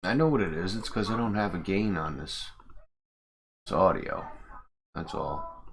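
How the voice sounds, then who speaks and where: A man's voice: sits low, very animated, measured pace, creaky, slight background noise. A man chatting casually and informally on a livestream as he works at his computer, swearing now and then. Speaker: streamer, mod creator. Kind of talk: casual livestream chat while editing. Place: indoors at a computer.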